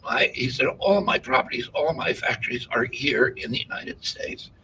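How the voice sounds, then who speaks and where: Male interviewee, halting and restarting, off-mic and noisy. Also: medium pitch, very animated. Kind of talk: answering interview question. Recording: distant mic with background noise.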